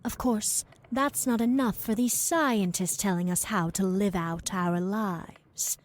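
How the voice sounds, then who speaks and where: Actress as a weary, blunt woman for audio drama, mid-pitched, slow and halting, a little breathy. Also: close to an everyday voice. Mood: weary, defiant. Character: confused, weary woman, blunt wine-drinking mother figure. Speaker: actor.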